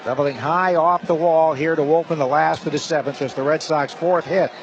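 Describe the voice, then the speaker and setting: Male baseball play-by-play announcer, higher-pitched, clipped descriptive delivery, noisy live stadium broadcast. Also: fairly even intonation, normal speed, dull audio. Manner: clipped, descriptive short phrases. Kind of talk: live radio play-by-play commentary. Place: ballpark broadcast booth. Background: clear stadium ambience.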